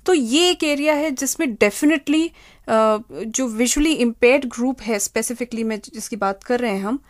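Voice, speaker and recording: A woman's voice: a little high, unhurried. A woman giving methodical, step-by-step advice as an interviewed expert. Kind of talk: interview answers, explanatory advice.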